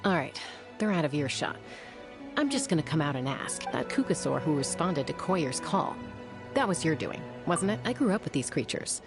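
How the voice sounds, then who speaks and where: A woman's voice: deep voice, big pitch swings, normal speed. A woman playing a cool, plain-spoken young warrior in an anime game, with a frank, composed manner. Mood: frank, reassuring. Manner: frank, composed, matter-of-fact. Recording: music behind the voice.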